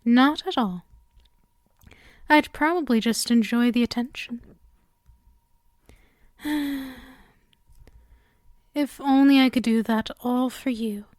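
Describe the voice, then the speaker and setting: A woman performs a sealed female demon who is clingy and utterly devoted, in an intimate ASMR roleplay. Her voice is fairly high, soft and breathy, often slipping toward a whisper. She speaks very slowly and coaxingly, in a close, dry recording. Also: dry recording. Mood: yearning, affectionate. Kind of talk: ASMR roleplay, intimate monologue addressed to listener.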